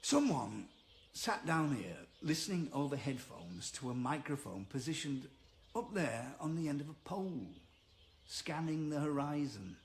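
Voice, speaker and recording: Gruff loner birdwatcher in a radio play, kinda high voice, slow n halting, noisy outdoor background. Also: male voice, sing-song. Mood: wistful, absorbed. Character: gruff reclusive male birdwatcher, wary but softening. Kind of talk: naturalistic radio drama acting.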